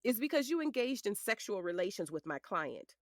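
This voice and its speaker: A woman's voice: medium pitch, big pitch swings, brisk, no room sound, clean studio sound, close to an everyday voice. A woman playing a polished defense attorney in a courtroom drama, formal, methodical and pointed. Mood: pointed, cutting. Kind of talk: audio drama acting.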